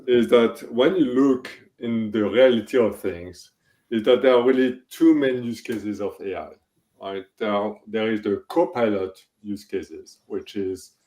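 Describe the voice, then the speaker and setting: A man's voice: on the low side, a little mellow, reverberant. A middle-aged man from France with a French accent, speaking as an interview guest and thinking aloud with restarts and tag questions like "right?". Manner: thoughtful, many restarts and "right?" checks. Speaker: guest, tech executive. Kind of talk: podcast interview.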